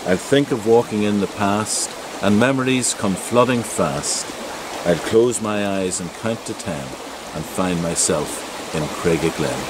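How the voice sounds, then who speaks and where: A man in his sixties with a Northern Irish accent, reading verse in a measured, rhythmic way. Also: on the low side. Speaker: amateur poet. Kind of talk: poetry reading. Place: outdoors in a wooded glen by a stream. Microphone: camera mic a couple of metres away. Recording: loud rushing stream noise outdoors.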